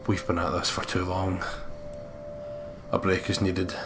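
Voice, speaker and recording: A man's voice: low voice, monotone, a little slow, noisy background. A man reading a first-person story aloud as dramatic narration for a game playthrough, with the odd stumble. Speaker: let's play host reading a visual novel aloud. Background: loud game music.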